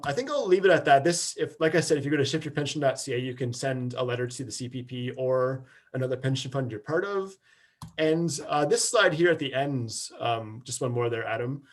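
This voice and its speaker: A man giving a casual webinar slide presentation as a campaigner, explaining loosely with plenty of fillers over a video call. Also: medium pitch.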